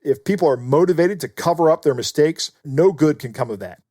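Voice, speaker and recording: A man's voice: fairly high pitch, expressive, a little fast, dark tone, slightly rough, dry recording, studio quality. A man co-hosting a podcast, giving earnest, explanatory commentary in a conversational way. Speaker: podcast co-host.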